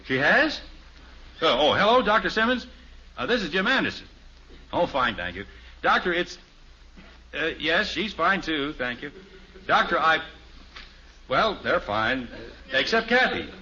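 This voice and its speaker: An actor playing a wry suburban father in an old-time radio family sitcom, excitable and easily exasperated. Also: fairly high pitch, unhurried, long pauses, heavily acted. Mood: flustered. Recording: muffled old radio recording, faint hiss.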